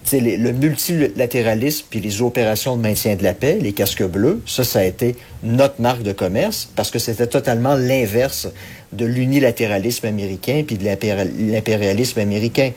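A man's voice: mid-range voice, a little fast, a little mellow, husky. A man with a Québécois French accent giving political commentary, earnest and analytical with frequent hesitations. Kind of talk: radio commentary segment.

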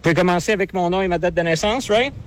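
Defiant fast-talking man. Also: sits high. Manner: insistent, defiant, demands badge numbers. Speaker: pedestrian arguing with police.

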